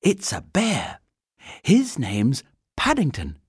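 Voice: mid-range voice, wildly swooping pitch, takes it slowly, husky, no echo, studio quality, pitched above the performer's own voice, heavily acted. A performer voicing a friendly, chatty young girl who reassures and explains eagerly in a storybook reading. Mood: earnest, explaining.

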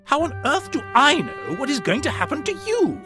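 A man's voice: very high voice, huge pitch swings, normal speed, over music, voice pushed up, exaggerated voice. A man reading a story aloud and voicing an irritable professor who blusters with indignation. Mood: indignant.

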